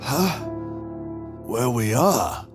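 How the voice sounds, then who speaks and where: A man's voice: mid-range voice, big pitch swings, drawn-out words, music in the background. A man playing an injured, distressed and sceptical spacecraft captain in an audio drama. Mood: confused, doubtful.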